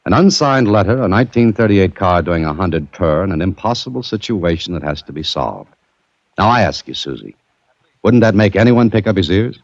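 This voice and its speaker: An actor playing a breezy, curious adventurer in a 1940s radio drama, with wry, polished delivery. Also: male voice, low-pitched, big pitch swings, moderate pace, no room sound, exaggerated voice. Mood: amused, curious. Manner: wry, bantering, polished storytelling. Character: breezy, curious adventurer hero narrating his own case. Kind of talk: 1940s radio drama acting with first-person narration. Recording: dull, noisy vintage radio recording.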